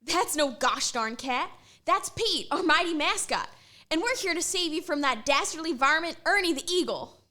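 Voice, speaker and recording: A woman's voice: high voice, expressive, moderate pace, pushed and bright. A woman doing a folksy cowgirl student. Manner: folksy, exclaiming. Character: folksy young woman student with a cowboy manner.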